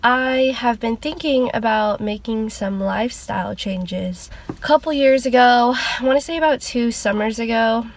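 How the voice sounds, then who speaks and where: A woman's voice: fairly high pitch, moderate pace. A young woman vlogger with a congested, nasal voice from a cold, chatting casually at a loose, rambling pace with lots of fillers. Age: young adult. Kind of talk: casual sit-down chat.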